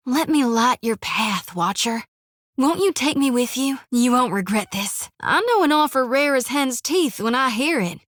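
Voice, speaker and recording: An actress voicing a folksy, earnest young priestess of a death god in a video game, with a drawl, rambling and plainspoken. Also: female voice, fairly high pitch, fairly bright tone, no room sound, clean studio sound.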